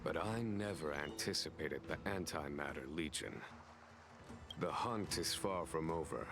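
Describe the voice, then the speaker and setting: Deep, slow-spoken, burdened general. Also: male voice. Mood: composed. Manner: measured, formal, deliberate. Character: calm, burdened general, ruler of a fantasy realm. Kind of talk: video game voice acting.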